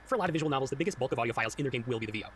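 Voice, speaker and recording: A man's voice: mid-range voice, expressive, racing, a little hiss or hum. A man hosting a tutorial, reading scripted narration with casual, informal, slightly wry asides. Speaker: tutorial host and solo game developer.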